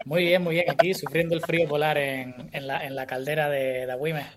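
A man calling into a radio show, easygoing and a bit halting. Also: fairly high pitch, flat delivery, a loud crowd. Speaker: phone-in guest farmer. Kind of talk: phone call on radio.

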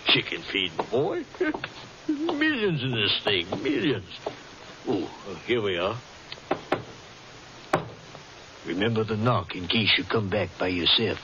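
An actor playing a genteel older colonel and swindler in a radio drama, hemming and clearing his throat in a courtly, confiding way. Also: fairly low pitch, big pitch swings, measured pace. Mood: hearty urging. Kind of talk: old-time radio drama acting. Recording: dull, hissy old radio recording.

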